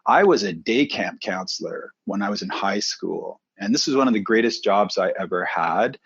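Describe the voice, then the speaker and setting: A middle-aged man from Canada, a presenter coach telling his career story in a relaxed, thoughtful interview. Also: on the low side, normal speed, creaky, breathy edge, dry recording, lo-fi sound.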